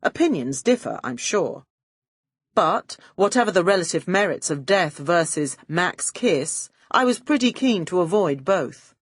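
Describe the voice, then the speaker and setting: A woman's voice: fairly low pitch, expressive, a little slow, husky, dry recording, studio quality. A woman reading a first-person detective story as an audiobook narrator, with a wry, arch and poised delivery.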